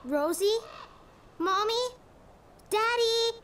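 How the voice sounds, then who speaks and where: A woman's voice: very high-pitched, lively intonation, very slow, fairly bright tone, a little hiss or hum, full character voice. A woman voicing an eager, sweet, easily worried four-year-old boy in a preschool cartoon. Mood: worried, small.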